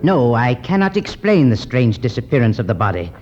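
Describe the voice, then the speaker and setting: An actor playing a guarded, formal Egyptian gentleman with stiffly courteous speech in 1950s radio adventure style. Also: mid-range voice, sing-song, fairly quick, over music, lightly acted. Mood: coolly courteous. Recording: muffled, noisy 1950s radio recording.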